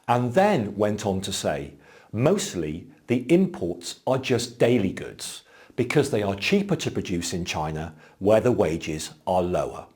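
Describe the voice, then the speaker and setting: A man giving clear, explanatory scripted narration as an exam commentator. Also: on the low side, a little mellow.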